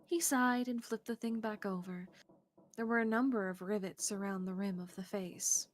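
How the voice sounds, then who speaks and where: Woman narrating aloud, medium pitch. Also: steady tone, normal speed. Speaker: streamer narrating a visual novel. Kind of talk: read-aloud story narration with casual asides. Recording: faint background noise.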